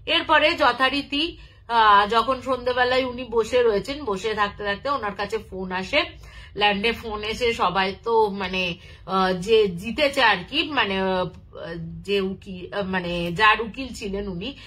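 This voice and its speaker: A woman telling a story informally and unscripted, in a halting, conversational manner, as a storyteller who acts out dialogue. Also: medium pitch, pushed and bright.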